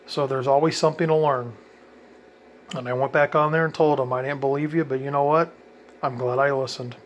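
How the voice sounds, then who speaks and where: A man's voice: medium pitch, steady tone, fairly quick, a little hiss or hum. Rambling man explaining radio gear. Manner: conversational, many ums, self-correcting. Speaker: hobby radio vlogger. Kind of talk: casual how-to rambling.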